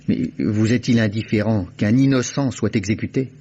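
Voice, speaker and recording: Insistent gentleman sleuth, played by a man, lowish pitch, polite and probing, vintage radio drama with faint hiss. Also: normal speed, close to an everyday voice. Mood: urgent, threatening. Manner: probing, polite but pressing. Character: insistent, urbane man investigating to save a condemned man. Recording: dull, noisy old radio recording.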